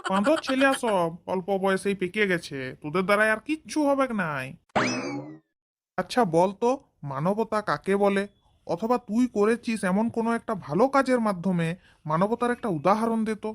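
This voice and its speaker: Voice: mid-range voice, expressive, moderate pace, lightly acted. A performer voicing a stern, exasperated male schoolteacher in a comedy cartoon, lecturing and scolding. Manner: scolding, didactic.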